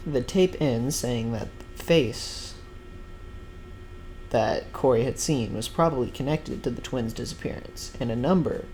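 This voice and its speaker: A man's voice: medium pitch, a little slow, darkish tone, a little hiss or hum, rough recording. A man narrating an explainer about a horror series in a scripted recap style. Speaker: video narrator.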